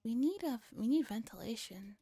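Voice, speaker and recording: A woman voicing a sharp-tongued, impatient young woman scientist from a visual novel in a brisk, no-nonsense manner. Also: a little high, expressive.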